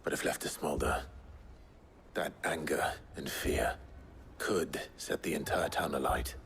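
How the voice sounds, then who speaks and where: An actor playing a calm, brooding outlaw leader in a game cutscene, with a measured, serious, understated manner. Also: male voice, rumbling low, creaky, whispered, exaggerated voice. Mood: grave. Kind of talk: dramatic game cutscene acting.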